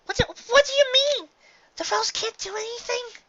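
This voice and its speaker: Guy doing a timid young pony girl for a story, high breathy falsetto, pleading, kinda dull recording. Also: normal speed, fairly bright tone, a little hiss or hum. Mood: fearful pleading. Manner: hesitant, pleading. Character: timid, soft-voiced young female pegasus, anxious daughter. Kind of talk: dramatic storybook reading.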